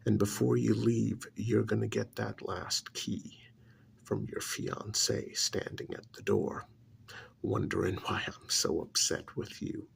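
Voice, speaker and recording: A man performs a rich, condescending older uncle in an ASMR roleplay. His voice is extremely husky and crackles with heavy fry. He speaks very slowly, letting each sarcastic, irritated phrase hang. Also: fairly low pitch, fairly even intonation, heavily acted. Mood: bitter sarcasm. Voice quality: gravelly, husky, breathy. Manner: slow, condescending, drawn-out sarcasm with pauses.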